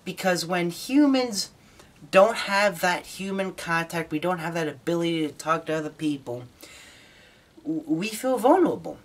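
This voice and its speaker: An American man with a slightly high-pitched voice talks informally as a hobbyist presenter. He explains things in a halting way, sometimes repeating a word before carrying on. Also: lively intonation, a little hiss or hum. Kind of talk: informal explanatory talk to camera.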